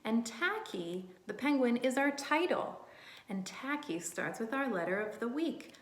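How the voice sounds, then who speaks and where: A woman doing a read-aloud storytime for young children in simple, encouraging language, animated and often asking questions. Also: on the high side. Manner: animated, asks children questions and pauses for answers. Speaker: early childhood teacher reading aloud to children. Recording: roomy, echoey room sound.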